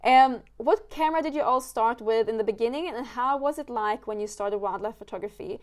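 A woman's voice: on the high side, brisk. A woman from Sweden, a Swedish native speaker, hosting a casual podcast chat, talking loosely with lots of fillers and restarts. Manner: chatty, many fillers and restarts. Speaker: podcast host.

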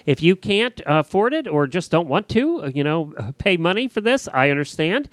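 A man's voice: fairly high pitch, very animated, moderate pace, dry recording, studio quality. A man hosting a podcast, chatting informally and reading out show announcements in a conversational way. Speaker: podcast host. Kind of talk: casual chat and show announcements.

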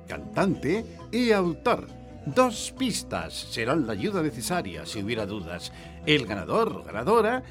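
An actor playing a showy, formal and polite radio quiz show host in a comic radio play. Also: male voice, fairly high pitch. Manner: theatrical, formal announcing with polite interjections. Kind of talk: comic radio theatre, announcer patter.

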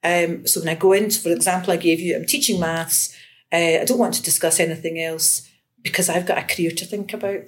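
A woman's voice: fairly low pitch, steady tone, a little fast. Woman from scotland, 50s, researcher talking it through, lots of restarts. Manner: thoughtful, many restarts and fillers. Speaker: education researcher and lecturer. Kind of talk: conversational interview.

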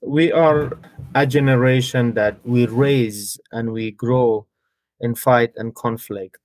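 A middle-aged man from Afghanistan, a guest in a career interview, speaking in halting English with frequent fillers and restarts. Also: mid-range voice, steady tone, a little mellow, no echo, low-quality recording.

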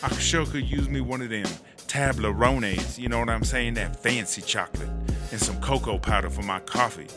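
A man's voice: medium pitch, moderate pace, loud music behind the voice, close to an everyday voice. Man playing a swaggering, slangy woman inmate. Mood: swaggering storytelling. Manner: slangy, swaggering street storytelling. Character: brash, proud woman prison inmate, swaggering and funny. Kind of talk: comedic audio drama monologue.

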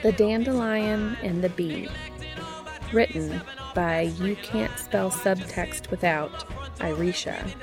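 A woman's voice: medium pitch, slow pace, gravelly. A woman hosting and reading stories aloud in scripted storybook narration, with music behind her. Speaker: podcast host and fan fiction reader.

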